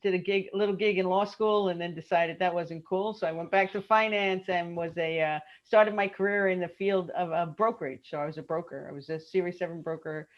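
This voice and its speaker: A middle-aged woman with a Brooklyn accent, chatting lively as an interview guest on a video call and laughing often. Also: on the low side, a little fast, few pauses, dull audio. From Brooklyn, New York. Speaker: guest, travel industry consultant. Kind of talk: casual interview chat.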